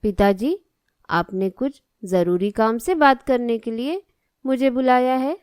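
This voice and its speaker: A woman playing a dutiful, level-headed young student daughter in an animated moral story, polite and plain-spoken. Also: on the high side, a little slow, smooth, dry recording, clean studio sound, close to an everyday voice. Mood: polite, attentive. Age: young adult.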